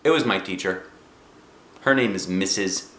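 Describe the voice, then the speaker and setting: A man reading a children's story aloud, expressive and unhurried, with casual asides. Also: low-pitched, roomy sound. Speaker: storybook reader, dad.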